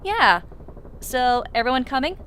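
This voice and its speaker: A woman's voice: high-pitched, very animated, measured pace, a bit bright, a little hiss or hum, heavily acted, rough recording. A woman acting a sociable, teasing teenage girl in an audio drama, with a breezy, coaxing manner. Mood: cheerful.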